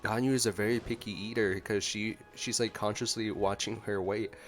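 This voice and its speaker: A man's voice: fairly low pitch, steady tone, moderate pace. A man giving casual, chatty gameplay reaction commentary as a streamer. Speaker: gaming streamer. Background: faint game music.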